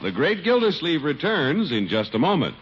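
A man's voice: on the high side, wildly swooping pitch, moderate pace. A man announcing and advertising in a 1950s radio style. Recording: muffled old radio, loud music.

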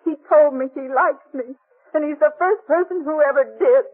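An actress playing a desperate, defiant young frontier spinster in a 1950s radio drama, impassioned and pleading. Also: female voice, high voice, normal speed, lightly acted. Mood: bitter, defiant. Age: young adult. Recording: tinny, band-limited 1950s radio recording.

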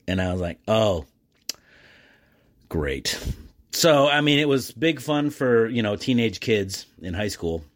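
A middle-aged man with an American accent and a fairly low voice talks casually on a solo podcast. He is chummy and animated, slipping into quoted exchanges as he tells his stories. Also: slow, pauses often. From United States. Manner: animated, self-describedly chummy and jokey. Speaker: podcast host.

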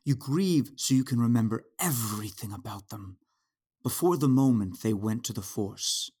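An actor playing a gentle, patient fatherly man in an audio drama, soothing as he talks. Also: medium pitch, expressive, moderate pace, husky. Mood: gentle, consoling.

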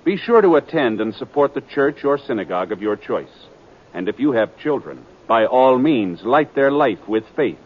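A man's voice: medium pitch, big pitch swings, normal speed. An American man giving formal, scripted announcements in 1950s radio style. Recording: muffled 1950s radio recording. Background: theme music.

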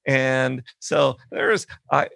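A man's voice: medium pitch, level tone, extremely slow, creaky, fairly bright tone, no echo, clean studio sound. A man hosting a podcast, speaking in an earnest, emphatic way. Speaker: podcast host. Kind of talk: casual interview talk.

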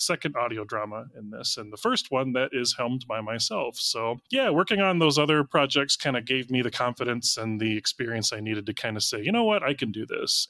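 A man's voice: medium pitch, pushed and bright, dry recording. An American man chatting casually as an interview guest, a writer talking about his work. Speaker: interview guest, writer and audio drama director.

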